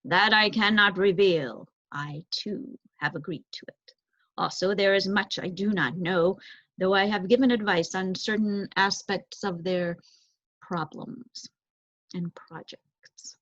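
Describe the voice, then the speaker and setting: A woman voicing a solemn, resigned old male owl in a children's storybook, with formal, measured phrasing. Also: medium pitch, fairly even intonation, a bit bright, no room sound, dull audio. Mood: grave, advising. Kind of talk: children's storybook read aloud.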